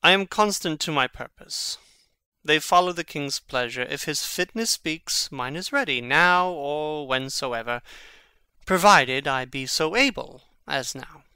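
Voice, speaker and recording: An actor playing Hamlet, a brooding, witty young prince, in a measured, theatrical Shakespearean reading. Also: male voice, a little high, animated, pushed and bright, clear, resonant tone, dry recording, exaggerated voice. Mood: resigned, philosophical. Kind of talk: dramatic reading of Shakespearean verse.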